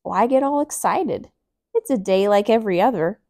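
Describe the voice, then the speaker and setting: A glum lady unicorn from a picture book, played by a woman reading aloud, who grumbles that every day is dull. She talks in a fast, flat, complaining voice. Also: medium pitch, vocal fry. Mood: bored. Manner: sulky, complaining. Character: gloomy, bored female unicorn. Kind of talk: storybook read-aloud.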